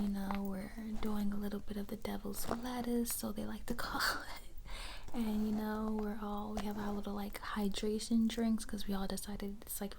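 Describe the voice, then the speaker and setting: A woman in her twenties whispering an ASMR bedtime story in a casual, hesitant, conversational way with a breathy voice. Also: medium pitch, background noise. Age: 20s. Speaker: ASMR creator telling a story.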